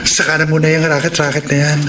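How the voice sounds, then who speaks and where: A man's voice: a little high, completely flat delivery, fast, close to an everyday voice. An actor playing a chatty, teasing young man who pushes for answers in a Filipino radio romance drama. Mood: casual, coaxing. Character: chatty young male TV extra, teasing matchmaking cousin. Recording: roomy, distant mic, noisy background.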